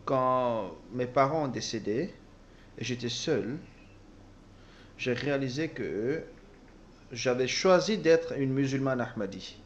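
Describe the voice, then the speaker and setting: Guy translating someone's personal story out loud, stumbles and corrects himself, kinda muffled lo-fi audio. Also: medium pitch, animated, slight background noise. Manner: halting, with repeated words and self-corrections. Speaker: interpreter voicing a testimony. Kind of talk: spoken translation of a personal testimony.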